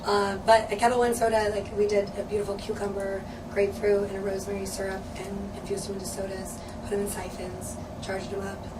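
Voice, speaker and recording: A woman's voice: mid-range voice, flat delivery, a little fast. A woman in her thirties, a brand ambassador, chatting cheerfully in an interview, her voice a little worn. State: losing her voice. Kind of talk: casual interview answers. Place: hotel room, indoors. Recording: distant noisy camera sound.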